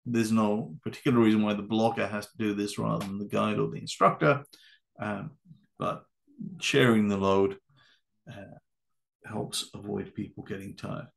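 A man narrating a slide-based training lecture for volunteer ski guides in a measured, instructive way. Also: lowish voice, fairly even intonation, vocal fry. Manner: measured, instructive, with occasional fillers. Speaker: adaptive ski course presenter. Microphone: voice-over.

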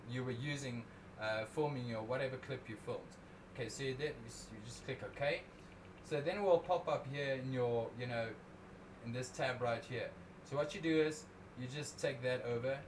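Casual young man. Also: mid-range voice. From South Africa. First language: Afrikaans. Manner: rambling, self-correcting, casual. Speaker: drone video creator. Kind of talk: livestream screen-recorded editing tutorial. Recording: noticeable background noise.

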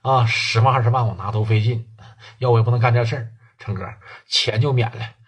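A man's voice: medium pitch, fairly even intonation, brisk, husky, pitched below the performer's own voice, close to an everyday voice. A man voicing a cowed gang boss who stammers and mumbles submissively.

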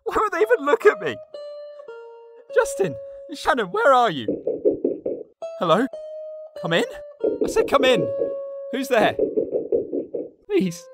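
Anxious young man acting a student, swinging pitch. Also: big pitch swings, takes it slowly, long pauses, exaggerated voice. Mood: desperate. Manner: exclamatory, agitated. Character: anxious young male exchange student, easily rattled. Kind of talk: radio drama acting. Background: music.

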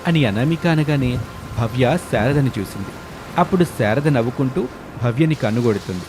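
A man's voice: medium pitch, expressive, normal speed, noisy background. A man narrating a scripted family moral story. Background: music.

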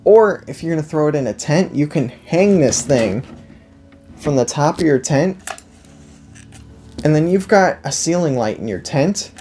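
A man's voice: a little high, animated, measured pace, pauses often, a little mellow, soft background music. A man in his twenties giving a casual, unscripted product review indoors, enthusiastic and emphatic, often repeating himself. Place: indoor room, seated at a table before a backdrop.

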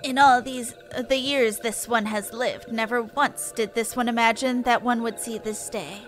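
A woman voicing a small elder sylph, gentle and solemn, speaking ceremoniously in a fantasy game. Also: a little high, steady tone, normal speed, faint music behind, natural voice. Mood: solemn, moved. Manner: ceremonious third-person speech.

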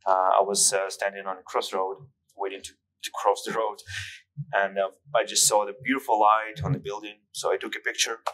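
A man's voice: fairly low pitch, little pitch movement, a little fast, a bit bright, poor audio. A man from Ukraine with a Ukrainian accent, chatting casually and telling anecdotes on a podcast. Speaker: podcast guest, hobby photographer.

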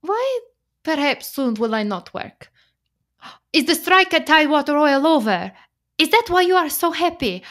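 A woman acting in a radio play as a clever, soothing immigrant wife, speaking measured, formal English. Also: high-pitched, big pitch swings, fairly bright tone. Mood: curious, teasing.

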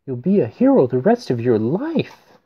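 A man's voice: medium pitch, wildly swooping pitch, breathy edge, muffled recording, pitched above the performer's own voice. A man voicing an eager soldier proclaiming promises in rhyme, for a children's storybook. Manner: brisk, proclaiming.

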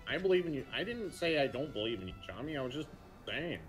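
A man voicing a blunt, easygoing crocodile detective, chatting casually and haltingly in character. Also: a little high, big pitch swings. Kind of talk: casual gaming chat in character. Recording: music behind the voice.

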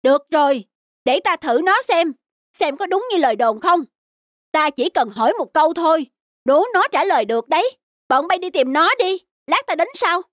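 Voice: very high voice, expressive, fairly bright tone, no room sound, clean studio sound. An actor voicing an arrogant, scheming young scholar in a cartoon, boastful and sneering. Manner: boastful, sneering, drawn-out taunts. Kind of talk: cartoon voice acting.

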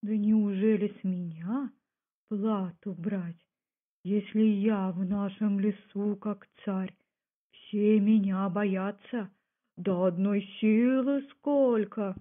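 A woman voicing a boastful big male bear from a folk tale, loud and drawn-out. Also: fairly even intonation. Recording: muffled low-fidelity home recording.

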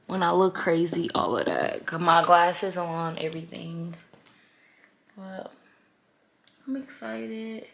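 Candid young woman vlogging, lower voice. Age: 20s. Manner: candid, emotional, heartfelt talk with slang. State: congested, stuffy. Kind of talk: casual vlog chat to camera. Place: bedroom, indoors, then in a car.

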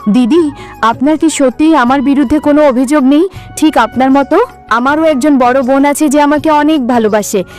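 Woman voicing a high-voiced, trusting young cartoon queen. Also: normal speed, a little mellow, soft background music, close to an everyday voice. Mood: happy, polite. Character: trusting, kind, humble young queen with magic. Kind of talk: cartoon story dialogue.

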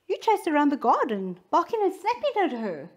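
A woman doing a high, bickering young dog. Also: huge pitch swings, normal speed. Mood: accusing.

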